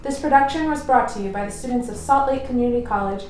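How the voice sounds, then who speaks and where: Echoey, slightly high female narrator. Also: quick pace, darkish tone. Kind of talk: scripted narration. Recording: very echoey, distant, faint music.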